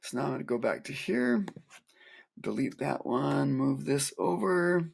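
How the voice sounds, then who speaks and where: A man teaching music, calmly talking through a software tutorial step by step with a soft voice. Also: medium pitch, unhurried, vocal fry, breathy edge. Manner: calm step-by-step explaining, counting aloud. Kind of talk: screen-recorded software tutorial.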